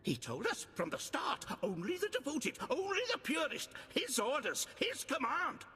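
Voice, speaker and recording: An actor playing a fanatical male cultist guard who shouts and laughs wildly, heard in a long reverberant space. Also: extremely high pitch, huge pitch swings, normal speed, heavily acted. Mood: fanatical raving. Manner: wild shouting, manic laughter.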